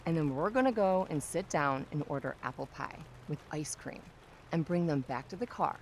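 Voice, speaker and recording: A woman's voice: low voice, expressive, moderate pace, slight background noise, poor audio. An actress playing a teasing, self-assured young woman, a protective friend, in an audio drama. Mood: teasing, reassuring. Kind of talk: audio drama acting, conversational.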